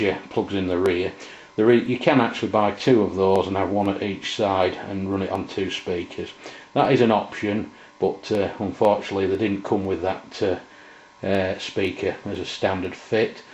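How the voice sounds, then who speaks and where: A middle-aged man giving an informal, halting, unscripted product review in a room at home. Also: low-pitched, darkish tone. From England. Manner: hesitant, halting, unscripted delivery. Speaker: presenter, radio hobbyist. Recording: muffled, roomy camera sound.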